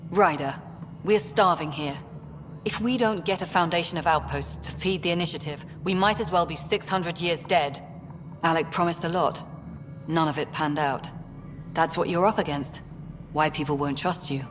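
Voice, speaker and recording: An actress playing a weary, bitter colonial director in a science fiction game, blunt and sardonic. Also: female voice, deep voice, moderate pace, soft background music, natural voice. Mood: stern, distrustful. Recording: reverberant hall, clear background noise.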